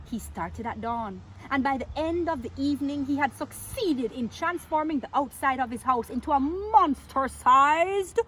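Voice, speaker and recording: Woman, children's storyteller, fairly high voice, theatrical and playful delivery, drawn-out words, noisy background. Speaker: storyteller reading a picture book aloud to children. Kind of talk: expressive children's read-aloud with asides and questions to listeners.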